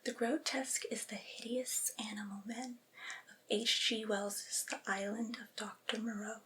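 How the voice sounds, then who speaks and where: A woman reading aloud in an ASMR style in a breathy, unvoiced whisper, phrasing each clause deliberately and carefully. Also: fairly high pitch, bright, forceful tone, low-quality recording. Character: devoted servile female vampire's familiar soothing master.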